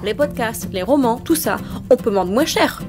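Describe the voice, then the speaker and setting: A woman's voice: fairly high pitch, lively intonation, moderate pace, over music, lightly acted. A woman playing a talkative passenger who rambles on and keeps asking for agreement. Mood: rambling.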